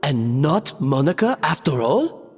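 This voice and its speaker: A man voicing an earnest, expressive male character in a video game adventure, speaking in an emphatic, questioning way. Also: fairly high pitch, unhurried. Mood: suspicious. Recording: long reverb, music behind.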